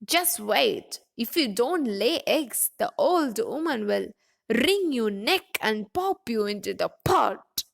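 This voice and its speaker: A woman's voice: on the high side, lively intonation, slow pace, vocal fry, heavily acted. Woman as a bossy, scolding storybook hen. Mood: threatening. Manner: forceful, scolding. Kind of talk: children's storybook character reading.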